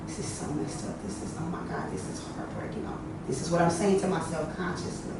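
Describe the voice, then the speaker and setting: A woman hosting a workshop, telling stories to a small group in a lively, chatty way, with a lot of "you know.". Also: fairly low pitch, brisk, far from the mic. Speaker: workshop host. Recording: echoey room, from afar, noisy.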